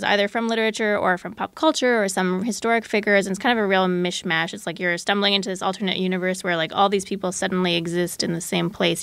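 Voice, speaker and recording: A woman's voice: lowish voice, a bit bright, dry recording. A woman who produces podcasts, talking casually as an interview guest.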